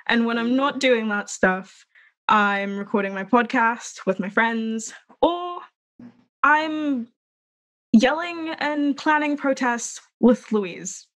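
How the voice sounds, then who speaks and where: A young woman hosts a casual podcast chat over a video call. Her voice sits a bit high, and she talks in bubbly, laughing, stop-start bursts. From Trinidad. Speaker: podcast host.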